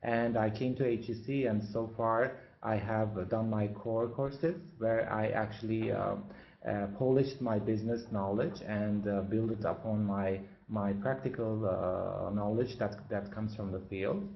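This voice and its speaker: Low-voiced man from Turkey, hesitant student guest, echoey lo-fi audio. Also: steady tone, unhurried, creaky, slight background noise. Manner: hesitant, with frequent fillers. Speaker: MBA student. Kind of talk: self-introduction on a webinar. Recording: echoey, dull lo-fi webinar audio.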